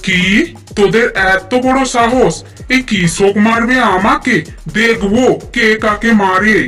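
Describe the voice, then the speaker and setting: A woman voicing an arrogant, menacing tiger in an animated children's story, boastful and threatening. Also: fairly high pitch, slow, far from the mic, faint music behind.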